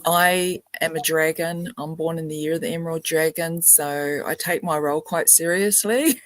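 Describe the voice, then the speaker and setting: An older woman from New Zealand giving a soft, meandering spiritual talk on a video call, laughing often. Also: low voice, steady tone, normal speed, no echo. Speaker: spiritual grandmother representative.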